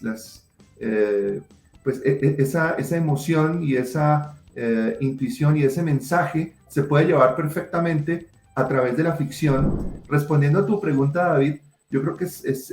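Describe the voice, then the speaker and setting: A middle-aged writer answering interview questions passionately, with many restarts. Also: male voice, fairly high pitch, creaky, a little mellow. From Colombia. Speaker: writer and guest. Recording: roomy echo.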